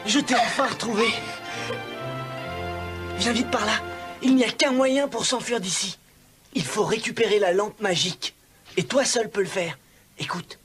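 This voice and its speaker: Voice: mid-range voice, measured pace, long pauses, a bit bright, faint music behind, close to an everyday voice. A performer playing an earnest, determined young male hero in a children's cartoon, speaking with urgency and command. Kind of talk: dubbed children's cartoon acting.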